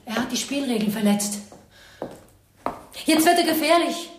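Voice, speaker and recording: Spurned lover, intense and bitter, raised pitch, radio drama, roomy sound, faint hiss. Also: female voice, lively intonation, normal speed. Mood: ominous. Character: bitter, intense spurned woman in a crime story. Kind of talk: radio crime drama dialogue.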